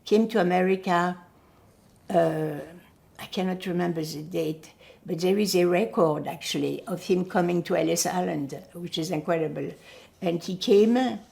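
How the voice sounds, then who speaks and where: An older woman whose first language is Yiddish, recalling her family in an oral history interview, pausing often to search for words. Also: fairly low pitch, gravelly, darkish tone. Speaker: Holocaust survivor giving testimony.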